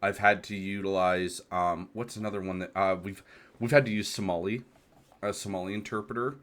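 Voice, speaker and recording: A man's voice: low-pitched, fairly even intonation, brisk, a bit bright. An American man in his thirties hosting a solo talk, reflective and hesitant, asking rhetorical questions. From United States. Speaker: podcast host. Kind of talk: solo monologue.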